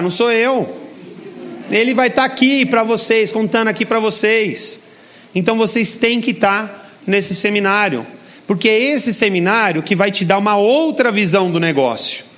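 A middle-aged Brazilian man speaking at a business seminar in a large hall, energetic and persuasive, talking straight to the audience. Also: high voice. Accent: Brazilian Portuguese. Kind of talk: motivational seminar talk.

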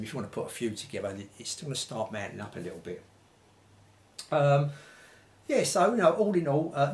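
A man, chatting casually and ramblingly to camera in an unscripted review, peppering his talk with little tags. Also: on the low side. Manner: chatty and rambling, frequent "you know" and "like" tags. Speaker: vintage audio reviewer. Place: small domestic room indoors, seated at a table. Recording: clear background noise, room ambience.

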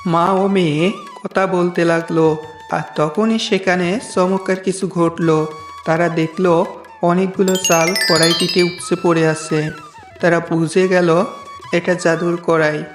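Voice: medium pitch, flat delivery, a little slow. A storyteller reading a scripted fable narration for a cartoon. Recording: roomy echo with music behind.

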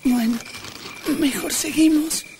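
A woman's voice: high-pitched, takes it slowly, noisy background. A woman playing a confused, easily exasperated young piano student with an Argentine accent, in a comic radio soap. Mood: hesitant.

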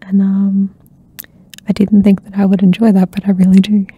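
Soft-spoken woman, husky, half-whispering. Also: mid-range voice, completely flat delivery, normal speed, muffled recording. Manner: soft-spoken, slips into whispers, many fillers. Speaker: ASMR channel host. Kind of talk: soft-spoken rambling catch-up chat. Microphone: close ASMR microphone.

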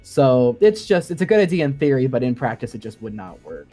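A man's voice: medium pitch, very animated, brisk, dark tone. Man casually explaining tech, music behind. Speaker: podcast guest co-host explaining game sound design.

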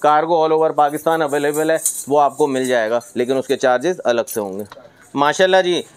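A man's voice: fairly high pitch, fairly quick, smooth. A middle-aged man giving a chatty, informal sales presentation as host, peppering his talk with pious phrases and English words. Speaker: host of livestock market videos. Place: roofed block-walled goat pen.

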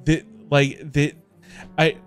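High-pitched middle-aged man livestreaming. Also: takes it slowly, clear, resonant tone. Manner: animated, emphatic, repeats himself for emphasis. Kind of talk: casual livestream chat with viewers. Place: indoor home streaming setup. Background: music.

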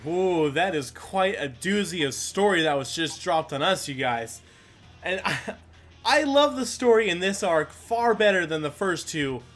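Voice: mid-range voice, animated, a little slow, fairly bright tone, soft background music. An enthusiastic, chatty gaming YouTuber, giving casual let's play commentary.

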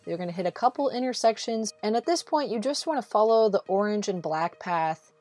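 A woman's voice: mid-range voice, expressive, fairly quick, a little mellow, no room sound. A woman giving a casual, encouraging voice-over guide as a travel vlog host, speaking directly to the listener. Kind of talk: casual instructional voice-over narration. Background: background music.

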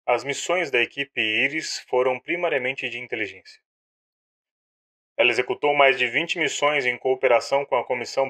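A man's voice: medium pitch, fairly bright tone, close to an everyday voice. A man playing a formal director who reads out a classified file in a clinical, official manner for an audio drama. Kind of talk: scripted narration of an official report.

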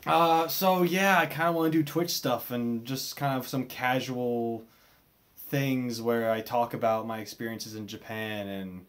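A man in his twenties with an American accent speaks casually to camera at a middling pitch. His delivery is animated but hesitant, with a self-deprecating streak. He sounds like a vlogger recording a little way from the mic in a small bedroom. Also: a little slow. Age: 20s. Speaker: video creator. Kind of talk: casual vlog update to camera.

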